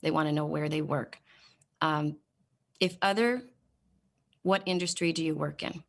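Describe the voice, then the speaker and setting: A woman's voice: sits low, steady tone, vocal fry. Exasperated woman testifying at a hearing. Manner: exasperated, sarcastic questioning, halting with many fillers. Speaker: state senator at a committee hearing. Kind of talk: committee testimony, reading off a website form. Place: legislative hearing room.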